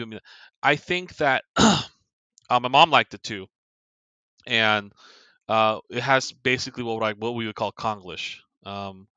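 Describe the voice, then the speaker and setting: A man's voice: lowish voice, pushed and bright, clear, resonant tone, no echo. A man co-hosting a casual movie podcast, talking in a rambling way with lots of fillers and restarts. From Korea. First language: Korean. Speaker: podcast co-host.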